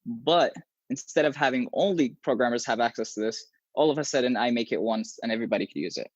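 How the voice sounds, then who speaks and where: A young man whose first language is Arabic chats as a guest on a video call, talking with his hands and restarting his phrases as he thinks aloud. His voice sits at an ordinary pitch, and it comes through dry and a little dull over earbuds in a small room. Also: fast, dry recording. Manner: animated, gestures a lot, many restarts. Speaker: guest software engineer. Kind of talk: casual interview talk.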